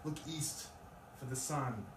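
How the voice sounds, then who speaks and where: A male poet speaks at a live reading in a room. His voice is picked up from a distance with plenty of echo. There is clear, loud noise over the recording. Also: mid-range voice, takes it slowly. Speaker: poet reading own work. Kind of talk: live poetry reading with casual introduction. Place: live event in a room with an audience.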